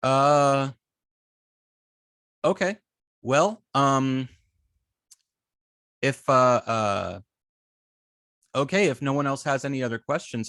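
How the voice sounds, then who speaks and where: A man teaching informally as an instructor, explaining in a halting, hesitant way with many filled pauses and false starts. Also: mid-range voice, fairly bright tone, no room sound, studio quality. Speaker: web design instructor.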